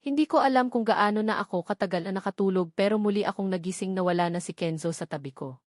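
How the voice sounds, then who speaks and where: A woman's voice: mid-range voice, fast talker, no echo, studio quality. A woman reading scripted first-person narration from a romance novel. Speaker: audiobook narrator.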